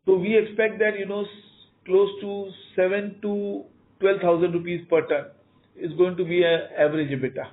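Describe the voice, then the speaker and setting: A man's voice: high voice, flat delivery, moderate pace. A man with an Indian accent answering analysts as a company executive on an earnings call, emphatic, repeating words and often saying "you know". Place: conference call over phone line. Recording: narrow tinny phone-line audio.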